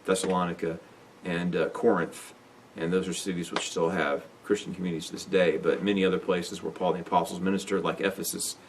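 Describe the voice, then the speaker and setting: A man's voice: low-pitched, level tone, fairly quick, distant mic, slight background noise. A man teaching a Bible lesson in an unscripted lecture, rambling with lots of fillers and restarts.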